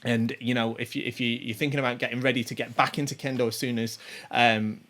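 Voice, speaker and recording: A man in his forties talks loosely through a list of names as a show host, his voice at an ordinary middle pitch. He rambles, circles back with plenty of ums and false starts, and chuckles to himself now and then. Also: flat delivery, fast talker, a bit bright. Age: 40s. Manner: rambling, many ums and restarts. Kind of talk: casual commentary on tournament players.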